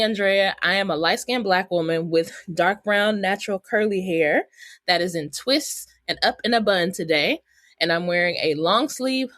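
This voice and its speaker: An American woman hosts a podcast with a casual, chatty delivery. Her voice sits at a middle pitch, and the sound is dry, with very little room around it. She talks directly to listeners in relaxed, informal phrasing. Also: no echo. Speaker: podcast host. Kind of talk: casual podcast hosting and interviewing.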